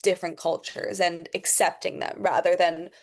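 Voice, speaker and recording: A woman's voice: fairly low pitch, little pitch movement, normal speed. Young woman with a mixed international accent. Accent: mixed international, elongated vowels from Thai. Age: young adult. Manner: thoughtful, frequent "um" and "I would say". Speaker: podcast guest, international student. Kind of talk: reflective interview answers.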